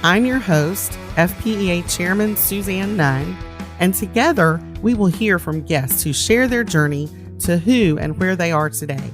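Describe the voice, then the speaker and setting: A woman's voice: lowish voice, animated, a little slow, music in the background. A woman hosting a podcast, reading a scripted introduction and interviewing a guest with short, attentive affirmations. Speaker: podcast host.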